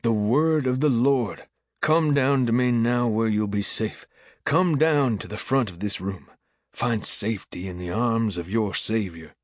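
A man voicing a fervent, commanding revival preacher in an audiobook, with declamatory, drawn-out oratory. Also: mid-range voice, dry recording, studio quality, pitched above the performer's own voice, natural voice. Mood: solemn, beckoning. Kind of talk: old-time revival sermon.

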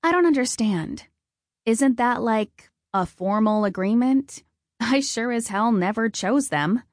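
A woman's voice: on the high side, lively intonation, a little slow, no room sound, clean studio sound. A woman voicing a wary, sarcastic young heroine who talks in a blunt, skeptical way. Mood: baffled, skeptical.

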